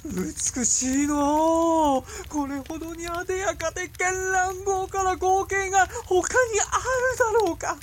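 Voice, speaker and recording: A man's voice: very high voice, slow, hardly any pauses, a little hiss or hum. A male actor playing a deranged, decadent warlord-monk who revels in destruction with theatrical, gloating archaic speech in a period audio drama. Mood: rapturous awe.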